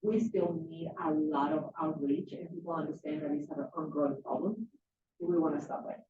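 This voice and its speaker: A woman's voice: deep voice, fairly even intonation, background noise. Distant, phone-like woman from Mexico. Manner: halting, many fillers and restarts. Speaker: advocacy program manager. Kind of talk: public comment at a county board meeting. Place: county board meeting room. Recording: roomy, distant, phone-like meeting recording.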